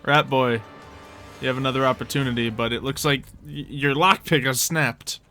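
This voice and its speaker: A man with a bright, forward voice runs a tabletop fantasy game as game master. He explains the rules and describes the action in a casual, conversational way, with faint music playing behind him. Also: mid-range voice, fairly even intonation, normal speed.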